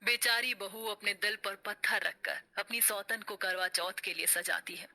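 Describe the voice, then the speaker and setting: Very bright female story narrator. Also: medium pitch, fairly even intonation, moderate pace. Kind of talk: dramatic scripted narration.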